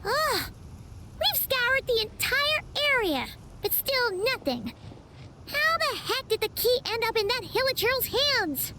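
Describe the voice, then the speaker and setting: Squeaky, childlike fairy companion. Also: female voice, sing-song, slow pace, heavy background noise, exaggerated voice. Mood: exasperated. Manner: speaks of herself in third person. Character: tiny childlike floating fairy companion, chatty and exasperated. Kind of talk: anime-style video game voice acting. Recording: game music under the voice.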